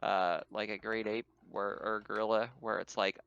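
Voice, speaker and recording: A man's voice: on the low side, level tone, moderate pace, vocal fry, a bit bright, clear, resonant tone. A man speaking casually as game master, narrating and refereeing a tabletop roleplaying game, swearing freely, on a slightly muffled microphone.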